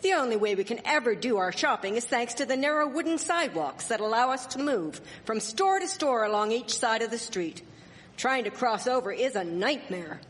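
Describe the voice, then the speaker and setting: A woman playing a sharp-tongued, indignant Victorian village wife in a live radio drama, scolding and sarcastic in formal diction. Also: fairly high pitch, animated, normal speed. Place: performing arts theatre stage. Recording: echoey hall, live stage performance.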